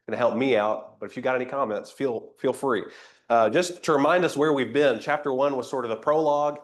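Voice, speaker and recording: A man teaching an interactive Bible class, a little nasal from a cold, conversational and asking the group questions. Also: mid-range voice. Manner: conversational, invites comments, rhetorical questions.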